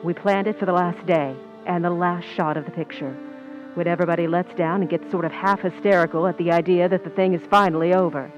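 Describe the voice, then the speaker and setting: A woman's voice: lowish voice, fairly even intonation, fast talker, highs cut off, over music, natural voice. A woman playing a brassy, plain-spoken film stand-in who schemes and confides in an old-time radio drama. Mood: confiding, tense. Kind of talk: old-time radio drama recreation, dialogue and confessional narration.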